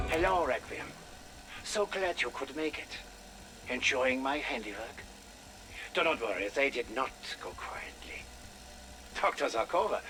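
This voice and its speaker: An actor playing a sinister German doctor with menacingly polite, theatrical delivery and a German accent in a video game audio log. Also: male voice, mid-range voice, sharp tone, exaggerated voice. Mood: gloating menace. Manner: theatrical, menacingly polite, savouring his words. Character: sinister German doctor and torturer, gloating Soviet operative. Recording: noisy radio-style audio log.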